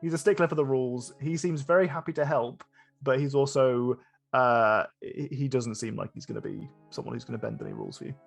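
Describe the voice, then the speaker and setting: A man narrating a tabletop game as game master, improvising in a halting way and often rephrasing. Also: mid-range voice, vocal fry, faint music behind.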